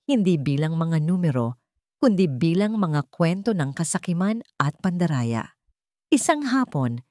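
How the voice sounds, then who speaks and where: A Filipino woman narrates a dramatic story in a fairly low register. Her pitch moves widely from phrase to phrase, and she spaces her lines with deliberate pauses. The recording is dry, with no room sound. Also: darkish tone, no echo. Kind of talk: dramatic scripted audiobook-style narration.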